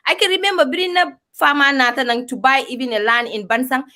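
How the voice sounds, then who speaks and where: Woman, bright high voice, passionate co-host. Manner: passionate, personal, speaks up quickly. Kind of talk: panel discussion, mixing English and a local language.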